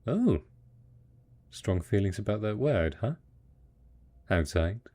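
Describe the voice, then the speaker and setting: Guy playing devoted husband after the apocalypse, mellow voice, swings up and down, sweet coaxing audio roleplay. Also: on the low side, sing-song, slow. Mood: tender, cajoling. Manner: tender, coaxing, patient, full of pet names. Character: devoted, doting post-apocalyptic husband tending his monstrous spouse. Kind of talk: intimate audio roleplay drama.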